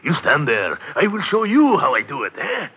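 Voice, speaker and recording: An actor playing a mad, gleeful old man with a French accent and a raspy, leering, wheedling voice, in old-time radio horror style. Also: on the high side, wildly swooping pitch, heavily acted. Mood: gleeful, demonstrating. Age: older. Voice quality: raspy, croaking. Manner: leering, wheedling, singsong with slow drawn-out phrases. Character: mad, gleeful old Frenchman who carves human bones in sewers. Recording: muffled old radio drama recording.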